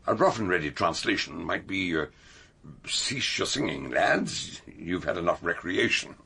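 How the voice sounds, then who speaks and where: An actor playing a learned elderly detective doctor in a radio drama, genial and shrewd, with a ponderous, scholarly manner. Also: male voice, sits low, lively intonation, unhurried, vocal fry, bright, forceful tone, husky, exaggerated voice. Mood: scholarly musing. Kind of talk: radio drama acting with first-person narration.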